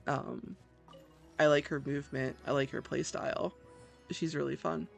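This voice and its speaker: Creaky-voiced gushing game streamer. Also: mid-range voice, steady tone, normal speed. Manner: gushing, self-deprecating. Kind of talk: casual gameplay commentary. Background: game music behind the voice.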